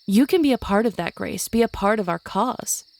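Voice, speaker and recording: Hardened older witch, played by a woman, coven leader, grave, measured, commanding, protective, audio drama. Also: medium pitch, animated, gravelly, a little mellow. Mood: resolute.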